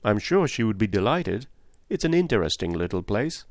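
Man reading as stiff, earnest English gentleman. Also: on the low side, sing-song, normal speed, no echo, clean studio sound. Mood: matter-of-fact. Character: reserved, helpful English gentleman, slightly stiff.

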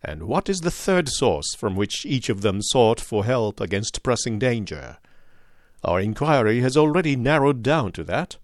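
Incisive detective read by a man, measured, precise, dryly ironic, mid-pitched, dry close sound, audiobook. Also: big pitch swings, no room sound, lightly acted. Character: calm, incisive adult male detective.

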